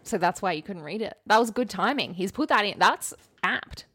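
Australian female co-host, animated intonation, mid pitch, wry and quippy, dry recording. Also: moderate pace, breathy edge, dry recording. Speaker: podcast co-host. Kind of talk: casual comedic chat.

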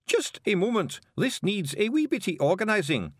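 A gruff, blustering Scottish stranger bosses his own limbs about in a raised voice, as a man puts him on. The sound is close and dry. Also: sits high, big pitch swings, normal speed, no echo, voice pushed up. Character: bossy bearded stranger, several little men.

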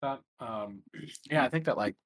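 A man on an online research panel, talking fast and loosely with many fillers. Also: medium pitch, dry recording. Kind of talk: casual online talk.

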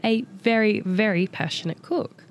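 An Australian woman with a head cold co-hosts a relaxed food podcast chat. She sounds a bit nasal and stuffy as she explains cooking facts in an easygoing way. A faint hiss of noise sits under her voice. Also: mid-range voice, moderate pace. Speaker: podcast co-host. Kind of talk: casual chat.

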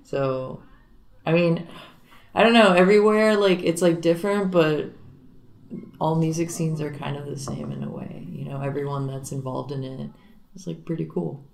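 Gravelly chatty young woman musician. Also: low-pitched, lively intonation, moderate pace, a little mellow. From Miami, Florida. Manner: chatty, many fillers and likes. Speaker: guitarist and singer. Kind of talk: casual interview.

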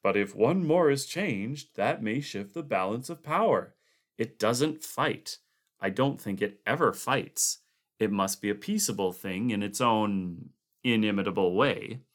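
A man voicing a weary but level-headed camp leader who reasons things through calmly. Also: lowish voice, measured pace. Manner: calm, reasoning, tired.

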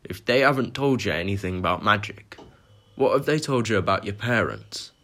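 A man voicing a huge, gruff but friendly giant groundskeeper with a loud, rustic manner of speech. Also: lively intonation, moderate pace. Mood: grave. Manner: loud, rustic dialect, rumbling growls when angry.

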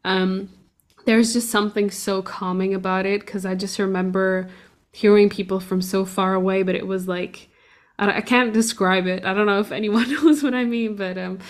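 A young woman from Portugal chatting casually, rambling with lots of fillers and restarts. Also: medium pitch. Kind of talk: casual conversation.

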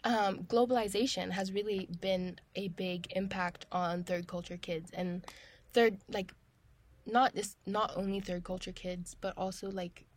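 Young woman podcast co-host, mid-pitch, lots of "like", kinda halting. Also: steady tone. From Tanzania. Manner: hesitant, many fillers like "like". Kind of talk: casual chat.

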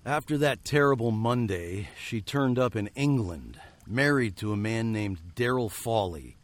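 An actor playing a wary, lovelorn fugitive of the old American West in a radio drama, speaking in an earnest, questioning manner. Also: male voice, lowish voice, animated, unhurried, no room sound. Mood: somber, doubtful. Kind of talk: radio drama acting with narration.

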